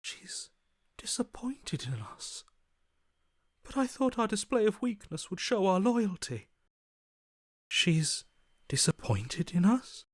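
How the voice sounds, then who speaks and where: Hushed, broken, servile inner voice. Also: male voice, high-pitched, very animated, slow, pauses often, dry recording, studio quality, heavily acted. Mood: anguished devotion. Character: broken, servile male inner voice, worshipfully defeated. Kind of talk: dramatic video game voice acting.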